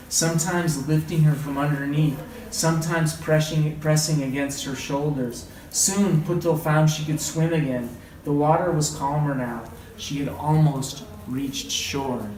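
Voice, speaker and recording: A man reading a story aloud as a teacher, with dramatic, deliberate phrasing and questioning asides. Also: fairly high pitch, steady tone, a little mellow. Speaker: read-aloud teacher and trainer. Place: indoor room, training session. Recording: echoey room, distant mic, background noise.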